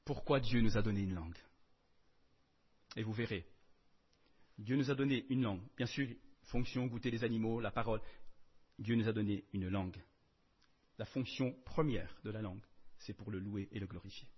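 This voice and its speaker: A man preaching a sermon in French, emphatic, swinging between loud declarations and soft asides. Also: fairly low pitch, normal speed, pauses often, slightly rough, highs cut off.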